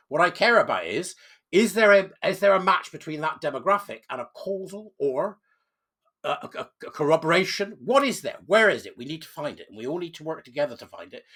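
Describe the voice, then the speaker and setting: Croaky man with a cold. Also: on the high side. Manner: emphatic, insistent, with sudden loud stresses. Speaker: channel host. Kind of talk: opinion commentary monologue.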